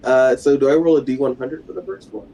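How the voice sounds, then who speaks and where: Mellow man at a game table. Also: medium pitch, fairly even intonation, quick pace, poor audio. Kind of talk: casual chat during a tabletop game. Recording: faint background noise.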